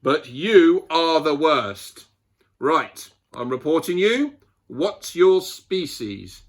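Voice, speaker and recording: A man voicing a furious male wildlife presenter in a picture book, scolding with emphatic, drawn-out words. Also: a little high, big pitch swings, exaggerated voice. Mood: outraged.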